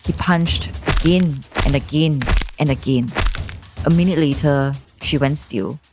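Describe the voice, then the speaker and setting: A woman's voice: low voice, unhurried, music in the background. A woman narrating a scripted story recap as the storyteller.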